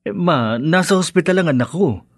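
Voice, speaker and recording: A hesitant, dutiful young husband. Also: male voice, fairly high pitch, expressive, moderate pace, no room sound, studio quality. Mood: defensive. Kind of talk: Filipino radio drama acting.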